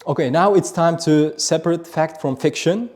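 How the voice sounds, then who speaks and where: A man's voice: a little high, moderate pace, darkish tone. A man hosting an interview, putting prepared questions to guests. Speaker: interviewer, host.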